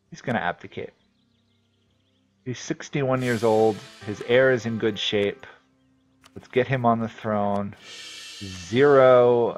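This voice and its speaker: Low-voiced New England man thinking aloud. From New England, USA. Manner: thinks aloud, rambling with fillers. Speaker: game streamer. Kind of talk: casual commentary while playing.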